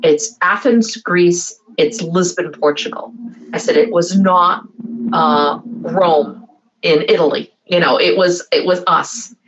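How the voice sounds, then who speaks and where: A woman co-hosting a casual chat, telling stories in an animated way with many restarts. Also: lowish voice, slow. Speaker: podcast co-host. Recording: dull lo-fi sound.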